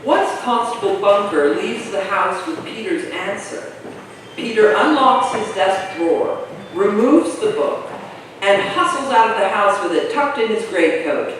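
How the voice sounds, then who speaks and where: A woman's voice: medium pitch, expressive, unhurried, a little hiss or hum, lightly acted. A woman performing as narrator, reading stage directions in a scripted present tense at a staged play reading. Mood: descriptive. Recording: very echoey hall, distant mic.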